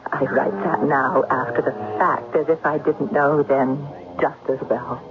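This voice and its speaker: An actress playing an earnest young woman in a Gothic radio mystery, speaking in halting, heartfelt phrases. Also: extremely low pitch, steady tone. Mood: wistful, confiding. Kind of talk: 1970s radio drama acting. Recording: muffled vintage radio broadcast with music.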